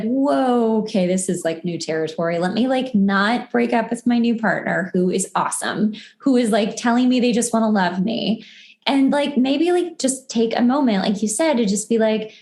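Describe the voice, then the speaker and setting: Female podcast host, fairly high voice, echoey room, informal and chatty. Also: a little mellow. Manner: informal, heavy filler "like", self-quoting asides. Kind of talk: casual conversational podcast talk. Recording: roomy, echoey sound.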